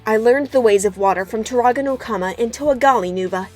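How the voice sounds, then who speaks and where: A proud female water warrior voiced by a woman with a fairly high voice. She is composed and defiant, in animated fan-dub style with music underneath. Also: fast talker, natural voice.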